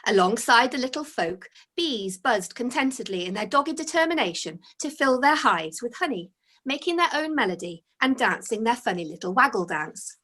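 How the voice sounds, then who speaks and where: A woman reading her own children's story aloud as its author, in an expressive storytelling style. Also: fairly high pitch, normal speed.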